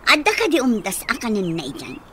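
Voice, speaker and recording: A woman's voice: a little high, huge pitch swings, fairly quick, sharp tone, slight background noise, exaggerated voice. An actress playing a callous, scheming woman in an Ilocano radio drama, talking scornfully.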